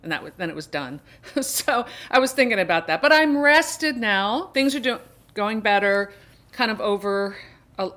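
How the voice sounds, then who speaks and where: A woman's voice: medium pitch, expressive, normal speed, fairly bright tone. A middle-aged woman chatting casually to her viewers as a book vlogger, rambling along with emphatic turns of phrase. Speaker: book reviewer and video host.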